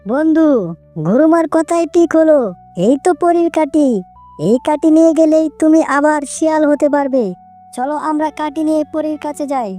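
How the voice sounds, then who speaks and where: Woman voicing very high-pitched cartoon female creature. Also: steady tone, moderate pace, dark tone, soft background music, natural voice. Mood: grateful, friendly. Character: female cartoon characters: fairy, mother fox, friendly little bird. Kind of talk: children's cartoon dubbing.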